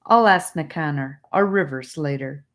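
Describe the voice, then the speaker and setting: A woman voicing an excitable, impatient and greedy young boy in an audiobook, exclaiming and demanding. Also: sits low, normal speed, lightly acted. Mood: puzzled. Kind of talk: audiobook character voice.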